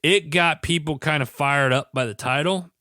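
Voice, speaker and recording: A man's voice: fairly high pitch, moderate pace, pushed and bright, dry recording. A male podcast host with a stuffy head cold, talking in an earnest, rambling way and often restarting his sentences. His voice is very dry, with no room sound at all. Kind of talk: casual podcast chat.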